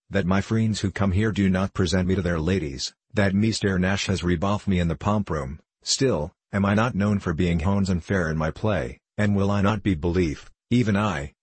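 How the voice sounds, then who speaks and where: A man's voice: low-pitched, a little mellow, breathy edge, no room sound. A man voicing a young French gambler in an audiobook, with a French accent and broken English, courtly, taunting and self-assured. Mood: dignified. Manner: broken English, courtly, theatrical chuckling asides. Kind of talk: audiobook character voice.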